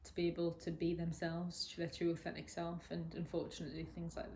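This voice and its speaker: Woman in her 20s, kinda low voice, lots of ums and restarts, just chatting openly. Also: completely flat delivery, brisk, a little mellow, a little hiss or hum. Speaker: interviewee sharing a personal story. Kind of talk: candid conversational interview.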